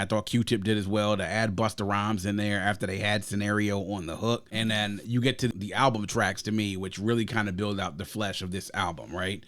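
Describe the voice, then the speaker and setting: A man's voice: on the low side, no echo. Enthusiastic man reviewing an album. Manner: enthusiastic, rambling with fillers. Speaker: podcast co-host. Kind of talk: casual album review chat.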